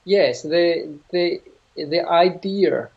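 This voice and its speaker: A middle-aged man with a Danish accent, answering interview questions as a yoga teacher, thoughtful and halting, often restarting phrases. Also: fairly high pitch, vocal fry, slight background noise. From Denmark. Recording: dull lo-fi sound.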